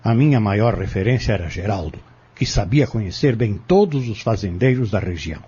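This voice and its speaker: A cunning, vengeful defendant testifies in an audio drama, acted out by a man. His voice is very husky and animated, and he speaks deliberately and defiantly. The recording is dull and lo-fi. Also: fairly low pitch. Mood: cold, calculating. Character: cunning vengeful male defendant confessing in court.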